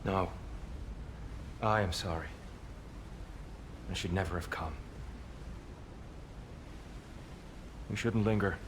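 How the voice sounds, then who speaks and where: An actor voicing a weary, anxious former war god turned pacifist in a video game, solemn and pleading in manner. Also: male voice, sits low, fairly even intonation, a little slow, noisy background. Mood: sorrowful, solemn. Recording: loud game music over the voice.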